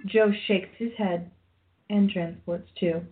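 A woman's voice: mid-range voice, steady tone, takes it slowly, over music. A woman reading scripted narration and scene directions for a radio play. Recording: tinny phone-like line.

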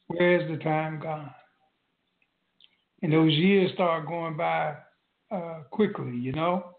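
A man with a slightly high voice speaks slowly and thoughtfully as a talk show co-host. The audio is thin and phone-like, with a roomy echo around him. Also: fairly even intonation, creaky. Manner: slow, reflective, short agreeing replies. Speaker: podcast co-host. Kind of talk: casual chat. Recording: tinny phone-like line in an echoey room.

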